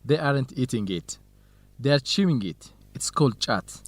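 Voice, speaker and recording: An easygoing, modest young male researcher played by an actor in a radio drama. His voice sits at a middle pitch, and he talks politely and frankly in a low-key way. The sound is dry and close. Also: lively intonation, moderate pace, no room sound, natural voice. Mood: explaining. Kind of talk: educational radio drama acting.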